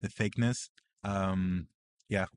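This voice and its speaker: A man's voice: deep voice, fairly even intonation, clear, resonant tone. A man with a French accent, a native French speaker from France, co-hosting a casual podcast chat and often breaking off and restarting his sentences. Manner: short agreeing interjections, halting restarts. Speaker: podcast co-host.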